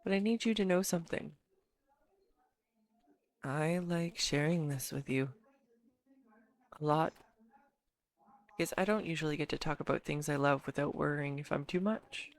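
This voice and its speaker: A woman playing an earnest, bashful, talkative girlfriend in an intimate audio roleplay, speaking straight to the listener in a halting, teasing manner. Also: sits low, vocal fry, full character voice. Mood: shy, affectionate.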